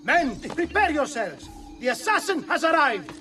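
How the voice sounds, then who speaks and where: An actor playing a frantic, hostile enemy alarmed by an assassin in a video game. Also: male voice, extremely high pitch, sing-song, a little slow, background noise, exaggerated voice. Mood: panicked.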